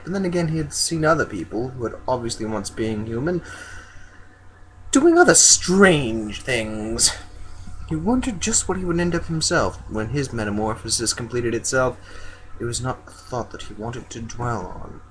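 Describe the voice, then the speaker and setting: A man's voice: medium pitch, big pitch swings, normal speed, a bit bright, slight background noise, rough recording. A man doing an amateur dramatic story reading in a goofy, theatrical manner. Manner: goofy, theatrical, breaks off into joking asides. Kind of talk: dramatic fan fiction reading.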